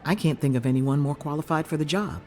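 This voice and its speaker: Game healer, male actor: caring and inquisitive, higher voice with lively swings, music underneath. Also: lightly acted. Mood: reassuring, advising. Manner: inquisitive, measured, advising. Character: keen, caring clinic healer, intrigued by strange souls. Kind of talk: video game character dialogue.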